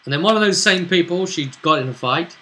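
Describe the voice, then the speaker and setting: A man's voice: a little high, expressive, quick pace, pushed and bright. A man hosting as game master, chatting casually as he recaps a tabletop roleplay campaign. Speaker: game master and show host.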